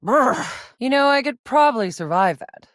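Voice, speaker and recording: A woman's voice: on the high side, animated, moderate pace, no echo, clean studio sound, lightly acted. A woman playing a tough, sardonic young soldier in a sci-fi video game, with a wry, gritty, profane manner. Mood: wry, weary. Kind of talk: video game character voice lines.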